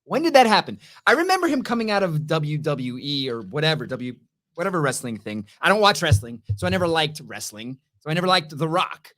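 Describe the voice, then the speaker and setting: Low-pitched woman with lively, swooping delivery. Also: fairly quick. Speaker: co-host of a TV review show. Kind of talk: casual banter.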